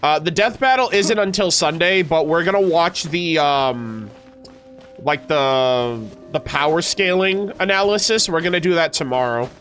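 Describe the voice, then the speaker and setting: Stuffed-up male streamer, high-pitched, chatty and halting, faint music under him. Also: fairly bright tone. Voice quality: stuffed-up, nasal. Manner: chatty, hesitant with many fillers and restarts. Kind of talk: casual game stream chat.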